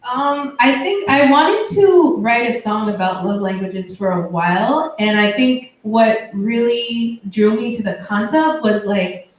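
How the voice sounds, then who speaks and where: A woman's voice: fairly high pitch, a little slow. A young woman from Boston, a musician guest in a casual interview, speaking thoughtfully with frequent restarts and fillers. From Boston, USA. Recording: echoey room, distant mic, faint noise.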